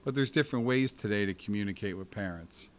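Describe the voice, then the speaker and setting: A man's voice: fairly low pitch, fast, slight background noise. A middle-aged man hosting an interview, asking questions conversationally and often restarting them mid-sentence. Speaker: host.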